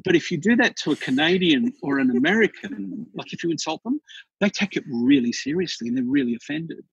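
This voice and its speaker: Husky Australian man, chatty storyteller. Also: on the high side, brisk, no echo, muffled recording. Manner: chatty storyteller, animated, frequent restarts. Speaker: podcast guest. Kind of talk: casual interview chat.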